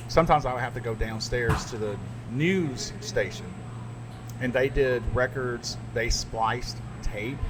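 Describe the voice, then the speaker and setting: A middle-aged man, a radio DJ, chatting casually and telling stories with animated asides. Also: mid-range voice. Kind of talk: casual podcast chat. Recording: noisy background.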